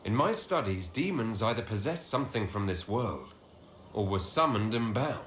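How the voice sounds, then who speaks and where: A man's voice: on the low side, sing-song, normal speed, a bit bright, echoey room, slight background noise. A man playing a thoughtful, curious leader in a fantasy video game, frank and conversational. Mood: reasoning. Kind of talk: dramatic video game acting.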